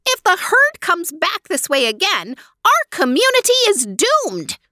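A woman's voice: extremely high pitch, huge pitch swings, unhurried, pushed and bright, dry recording, clean studio sound, pitched above the performer's own voice, exaggerated voice. A woman voicing a small, brave mouse who pleads earnestly with a king. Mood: alarmed.

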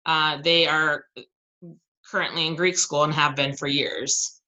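A woman teacher from the American Southwest chats as a podcast guest. Her voice sits low yet comes across bright and forceful. She talks in a friendly, easygoing way and laughs often. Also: flat delivery, unhurried, highs cut off. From Southern California and Arizona. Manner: friendly, chatty, laughs easily. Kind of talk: casual podcast interview.

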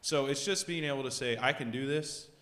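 A man's voice: mid-range voice, a little fast, fairly bright tone. A man, a basketball coach, sharing a personal testimony in an earnest, reflective way. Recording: roomy hall with long reverb.

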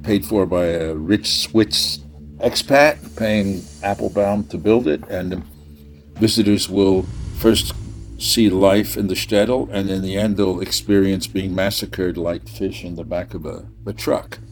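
Deep-voiced, halting older American man. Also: steady tone, slight background noise. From New Jersey, USA. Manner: halting, searching for words. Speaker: podcast host and sound designer. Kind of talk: rambling casual conversation.

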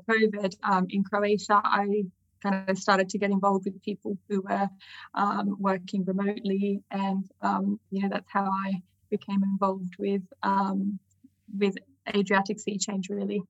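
A woman's voice: medium pitch, completely flat delivery, measured pace, a bit bright, no echo. A woman with an Australian accent, a lawyer answering interview questions hesitantly with many ums, over a video call. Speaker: guest lawyer. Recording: dull video call audio.

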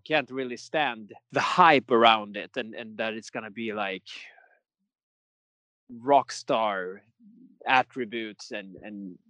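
A man's voice: on the low side, slow, bright, forceful tone, no echo. Rambling man, dry sound. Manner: rambling, many restarts, laughs often. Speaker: metal singer, guest. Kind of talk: casual interview chat.

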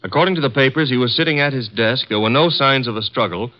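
An actor playing a breezy, brisk male assistant detective in a 1950s radio drama. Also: mid-range voice, lightly acted. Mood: briefing. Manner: breezy, brisk, matter-of-fact. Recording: dull old radio broadcast.

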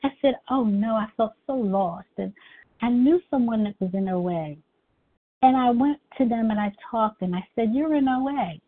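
A middle-aged woman with a high, girlish voice, telling her personal recovery story at a meeting in an earnest, halting way with many restarts. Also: husky. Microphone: phone call. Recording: tinny phone line.

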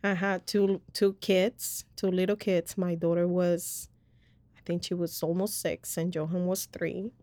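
A woman with a slightly low voice tells her own story as a podcast host. She talks earnestly and loosely, full of fillers and restarts. The recording is close and dry. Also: level tone, unhurried, warm tone, no room sound. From Colombia. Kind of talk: personal faith testimony in casual chat.

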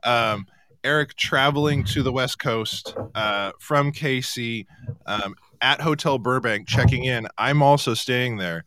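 A man hosting a talk show, chatting casually and telling anecdotes in an animated way. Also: mid-range voice, unhurried, no room sound.

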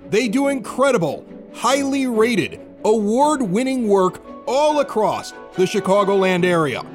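An American man hosting a podcast, talking in a chatty, informal way. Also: high voice. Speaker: podcast host. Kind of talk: conversational interview and ad reads.

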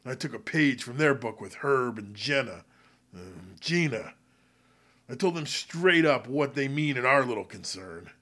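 A man's voice: mid-range voice, animated, a little slow, pushed and bright. Male reader as bitter ex-salesman, apocalypse audio story, confessional, self-justifying, sardonic, very varied intonation. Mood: smug, uneasy. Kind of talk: dramatic first-person audio fiction monologue.

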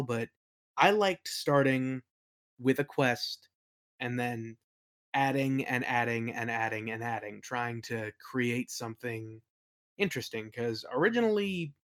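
A man in a casual interview, talking informally as a game master and telling anecdotes. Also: medium pitch. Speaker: podcast guest, game master and worldbuilder.

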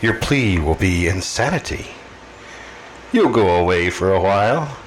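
An actor playing a sinister, sardonic all-knowing radio mystery narrator, mocking and deliberate. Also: male voice, low voice, expressive, a little hiss or hum, low-quality recording. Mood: mocking satisfaction.

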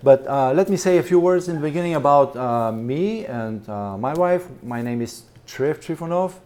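A middle-aged Bulgarian man with a Bulgarian accent presents a seminar to a room of listeners. His voice is mellow and dark. He talks haltingly, leaning on "uh" and "um" and restarting his words as he goes. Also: on the high side, lively intonation. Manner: hesitant, many fillers and repeated words. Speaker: missionary and church planter speaking at a seminar.

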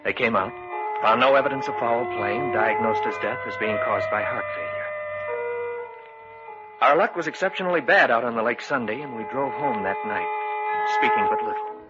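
A man's voice: mid-range voice, normal speed, natural voice. An actor playing an uneasy man who tells his eerie story in old-time radio suspense style. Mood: grave, apprehensive. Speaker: narrator and lead character. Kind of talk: 1950s radio suspense narration and acting. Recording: muffled vintage radio, loud music behind.

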